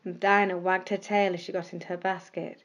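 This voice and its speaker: A woman narrating scripted bedtime stories for children in a measured, expressive storytelling manner. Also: fairly low pitch, dull audio.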